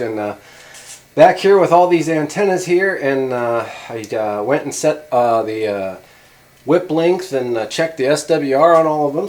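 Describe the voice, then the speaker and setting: A middle-aged man casually demonstrating gear as a video host, talking in a rambling, hesitant, informal way. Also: on the high side. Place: indoor garage workshop at a workbench.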